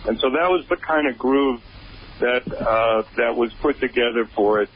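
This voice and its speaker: A man's voice: medium pitch, moderate pace, lo-fi sound. Hesitant man producer, faint hiss. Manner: hesitant, stumbling starts. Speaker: music producer guest. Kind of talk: casual radio interview chat.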